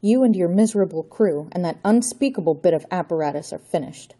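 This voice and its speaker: Desperate young hero, as a woman reader puts him on, urgent and pleading. The voice is husky and mellow underneath, pushed higher than her own. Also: animated, brisk. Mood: firm.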